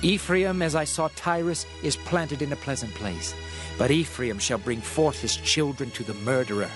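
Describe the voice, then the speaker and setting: A man's voice: mid-range voice, normal speed, music in the background, lightly acted. A man playing a stern prophet who speaks for an angry God, declaiming scripture solemnly in a dramatized reading. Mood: grave, condemning.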